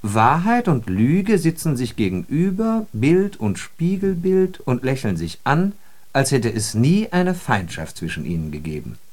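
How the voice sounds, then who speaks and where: An actor playing a wry, puzzled observer of city life in a literary radio monologue, speaking articulately with irony. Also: male voice, medium pitch, sing-song, a little mellow. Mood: puzzled, indignant. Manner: ironic, articulate, deliberate phrasing.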